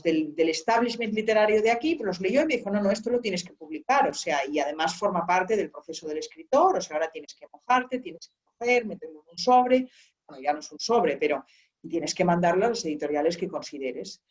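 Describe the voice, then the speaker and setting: A woman guest in an interview, telling a long story in a relaxed, rambling way with many restarts. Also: fairly low pitch. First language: Spanish. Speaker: author and guest. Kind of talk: conversational interview. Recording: dull lo-fi sound.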